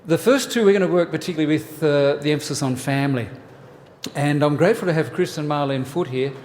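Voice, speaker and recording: A man in his sixties with an Australian accent, from New South Wales. He gives a church workshop talk in a relaxed, joking way, with many "uh" fillers. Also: a little high, fast, in a large hall, a little hiss or hum. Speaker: family ministries pastor. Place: church hall.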